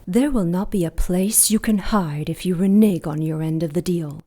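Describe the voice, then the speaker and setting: A woman's voice: lowish voice, moderate pace, warm tone. A woman playing an ancient demon queen in an audio drama, imperious, disdainful and threatening. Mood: menacing. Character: ancient demon queen, haughty, menacing mother of monsters.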